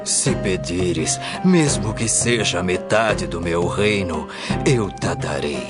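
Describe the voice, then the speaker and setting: A grandiose king acted by a man in a Bible audio drama. His voice is low and he speaks very slowly, giving each phrase weight. Music swells loudly behind him. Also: lively intonation. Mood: grandiose, generous. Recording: very loud music bed behind.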